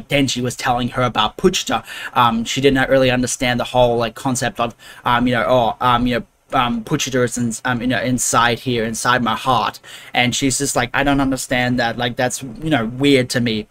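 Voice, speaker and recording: A man's voice: medium pitch, fairly bright tone. A man recapping an anime episode in a casual, rambling way, full of fillers and restarts. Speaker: anime reviewer.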